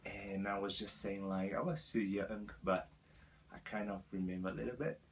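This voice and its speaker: A Brazilian man in his twenties, a native Portuguese speaker, talks to camera in earnest, halting English with a Brazilian Portuguese accent. His voice sits on the low side, and he pads his sentences with fillers and restarts. A faint hum of ambient noise runs underneath. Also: fairly even intonation. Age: 20s. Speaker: vlogger. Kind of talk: personal storytelling to camera.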